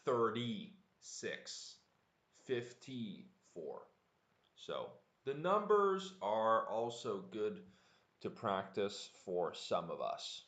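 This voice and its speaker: A man teaching English on a livestream, speaking deliberately and repeating words for learners. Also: fairly low pitch, sing-song, fairly bright tone. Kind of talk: live online language lesson, answering viewer chat. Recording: echoey room.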